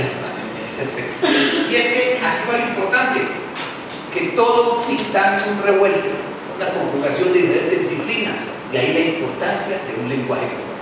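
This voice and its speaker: A middle-aged man with a Colombian accent, giving a university lecture into a handheld microphone, telling anecdotes with humorous asides. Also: high-pitched. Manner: animated storytelling with humorous asides. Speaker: lecturer presenting his book. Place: large lecture hall, indoors. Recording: echoey hall from far off with noise.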